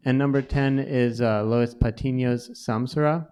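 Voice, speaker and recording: A man's voice: mid-range voice, fairly even intonation, moderate pace, a little mellow, clean tone. A man co-hosting a live panel event, presenting to an audience in a casual, conversational way. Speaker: co-host and magazine editor.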